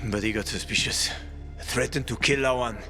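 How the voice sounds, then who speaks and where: A man's voice: medium pitch, moderate pace, bright, forceful tone. An actor playing a cynical, bitter man cornered in a zombie apocalypse, curt and sardonic, in a video game cutscene. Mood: agitated, defensive. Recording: music under the voice.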